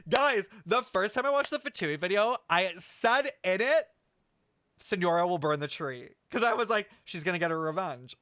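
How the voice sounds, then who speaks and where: High-voiced man, animated sweary streamer. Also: moderate pace, clear, resonant tone, no echo. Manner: animated, sweary, slangy, addressing chat. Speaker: streamer reacting to a video game. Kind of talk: casual livestream commentary and lore speculation.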